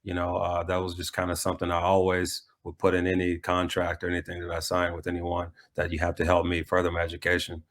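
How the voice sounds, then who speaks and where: A man's voice: low voice, monotone, quick pace, few pauses, fairly bright tone. A middle-aged man, a coach and former player, answering interview questions casually and reflectively, often repeating phrases as he goes.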